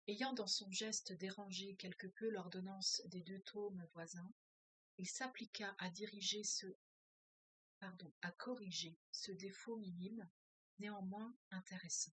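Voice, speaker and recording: A woman's voice: medium pitch, steady tone, measured pace, fairly bright tone, slightly rough, dry recording. A woman who teaches French as a foreign language, reading a novel aloud and breaking off to comment, with hesitant, thinking-aloud speech full of filler sounds.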